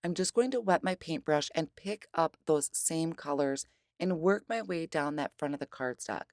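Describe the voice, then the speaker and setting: Hoarse woman with a very low voice. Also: normal speed, no echo, studio quality. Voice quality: strained, rough voice. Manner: careful, steady step-by-step explaining. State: hoarse, lost voice. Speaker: craft tutorial host. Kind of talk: voice-over craft demonstration.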